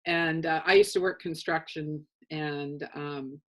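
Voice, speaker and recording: A middle-aged woman with a low voice, co-hosting a casual chat and telling stories with dry humor and frequent laughter. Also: fairly even intonation, a little slow, a bit bright. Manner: chatty storyteller, dry humor, laughs often. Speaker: co-host. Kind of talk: casual chat on a live show.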